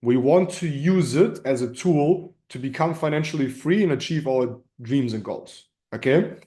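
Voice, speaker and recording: A man's voice: mid-range voice, moderate pace, dark tone. A man with a German accent coaching informally on a group call, explaining emphatically and repeating himself with frequent check-ins. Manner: emphatic, repetitive, checks in with "right?" and "okay?". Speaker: crypto trading coach hosting a community call. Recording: roomy, echoing sound.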